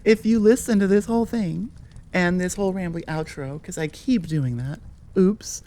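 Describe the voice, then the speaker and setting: A woman's voice: fairly low pitch, moderate pace, mellow. An American woman from Nevada chatting informally as an audio creator in a rambling, self-correcting outro. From Nevada, United States. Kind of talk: casual rambling outro chat.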